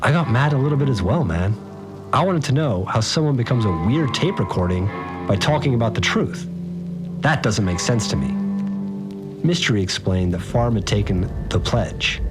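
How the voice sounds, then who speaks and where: A man's voice: mid-range voice, expressive, normal speed, over loud music, natural voice. An actor playing a rattled, slangy, laid-back guy, telling his story conversationally in an audio drama. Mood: agitated, baffled. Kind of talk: informal first-person storytelling in audio drama.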